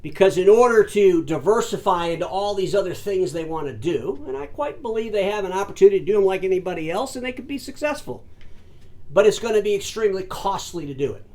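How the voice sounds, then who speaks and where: A man hosting his own industry commentary show, talking straight to his audience in an emphatic way, calling them folks and ladies and gentlemen, often repeating words and restarting sentences. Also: high-pitched. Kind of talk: solo commentary to camera.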